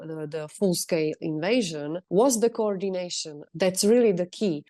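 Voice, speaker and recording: A woman with a Czech accent and a slightly lower-pitched voice answers as an expert guest on a podcast. She explains earnestly and methodically, with the phrasing of someone speaking English as a second language. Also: mellow. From Czech Republic.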